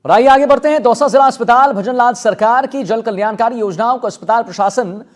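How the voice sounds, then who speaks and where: A man's voice: very high voice, brisk. A man anchoring live TV news in an emphatic, repetitive way.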